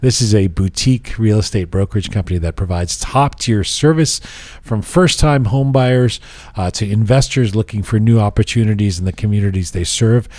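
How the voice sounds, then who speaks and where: A man hosting a podcast, joking and animated in casual chat and reading sponsor spots. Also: fairly low pitch, moderate pace, few pauses, no room sound. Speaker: podcast host.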